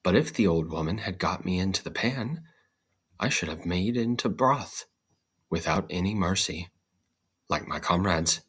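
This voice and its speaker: A man's voice: low-pitched, lively intonation, a little slow, a bit bright, breathy edge, exaggerated voice. A man voicing a small, prudent female bean from a storybook, with formal, old-fashioned phrasing. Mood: relieved.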